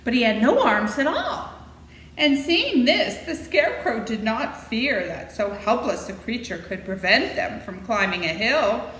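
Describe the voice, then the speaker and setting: A woman reading a children's story aloud in an expressive, playful way, with dramatic emphasis and asides to her listeners. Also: a little high, a little slow. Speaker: library storytime reader. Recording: echoey room with faint noise.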